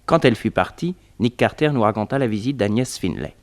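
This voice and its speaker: An actor playing a detective's assistant who narrates the case in a scripted 1960s French radio drama. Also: male voice, on the low side, expressive, a little fast. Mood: narrating.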